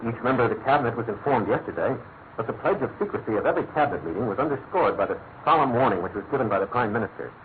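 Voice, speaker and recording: Quick, animated British statesman played by a man. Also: mid-range voice, close to an everyday voice. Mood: agitated, insistent. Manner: rapid, earnest, formal, emphatic. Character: earnest, anxious, elegant middle-aged government minister. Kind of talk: classic radio drama acting. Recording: thin narrow-band old radio recording, faint noise.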